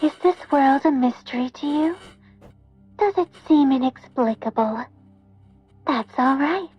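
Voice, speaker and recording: A woman playing a soothing, eerily inviting late-night radio host in a game, measured and gently beckoning. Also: high-pitched, animated, soft background music. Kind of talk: game voice acting as radio broadcast. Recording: muffled band-limited radio-like game audio.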